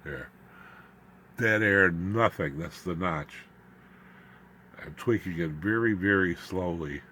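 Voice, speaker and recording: Low-pitched man, halting technical show-and-tell. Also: pushed and bright, a little hiss or hum. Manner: halting, muttering asides while working hands-on. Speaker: electronics hobbyist demonstrator.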